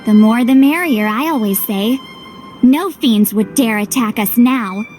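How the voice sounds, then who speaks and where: A woman's voice: a little high, unhurried, darkish tone, natural voice. A woman voicing a blunt, modest young heroine in a video game, casual and dutiful. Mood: cheerful, modest. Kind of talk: English video game voice acting. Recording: game music under the voice.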